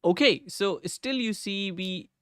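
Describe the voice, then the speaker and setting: A man's voice: high-pitched, slow, clean tone, no room sound, studio quality. A young man streaming live from his home desk, thinking aloud as he codes and greeting viewers in the chat. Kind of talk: casual live coding chat. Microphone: desk microphone.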